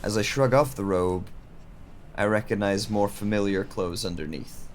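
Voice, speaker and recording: Guy acting as lost confused man narrator, audio drama, lowish voice, measured, bit of background noise. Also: steady tone, lightly acted. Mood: pensive.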